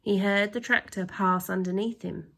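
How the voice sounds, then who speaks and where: A woman reading a children's story aloud like a teacher, with expressive, drawn-out emphasis and acted sound effects. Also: medium pitch, creaky.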